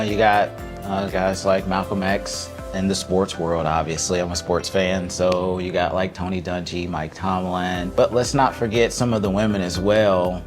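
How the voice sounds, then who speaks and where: A young man from the Indianapolis area, an instructional assistant, answering casually with many fillers. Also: deep voice. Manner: casual, filler-heavy sports-fan talk.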